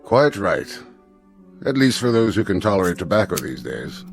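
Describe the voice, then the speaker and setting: A school headmaster in a radio play, low in pitch with very lively intonation. He is formal, tactful and evasive, choosing his courteous words with care. Music plays faintly behind him. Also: creaky. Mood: stiffly polite. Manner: stiffly courteous, measured, euphemistic. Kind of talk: radio drama acting.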